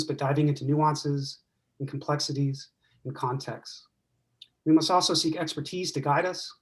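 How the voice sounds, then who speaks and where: Host, male, slightly high voice, measured and reflective opening speech. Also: poor audio. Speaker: event host. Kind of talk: prepared opening remarks.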